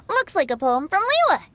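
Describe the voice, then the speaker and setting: A playful co-host from an anime game. The voice is light and high, with lively, theatrical swings in pitch. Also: female voice, slight background noise, exaggerated voice.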